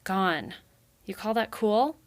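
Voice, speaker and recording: A woman's voice: medium pitch, lively intonation, slow pace. A hot-headed young mouse brother from a storybook, acted out by a woman reader. He is snappish and indignant, defiant on top of his nerves. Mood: sharp.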